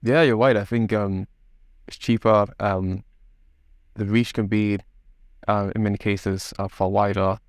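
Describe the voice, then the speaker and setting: A man hosts a podcast interview in a conversational style. His voice is fairly low with modest movement in pitch, and he feels his way toward each point in roundabout phrases. The recording is very dry. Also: steady tone, a little slow, pauses often, no room sound.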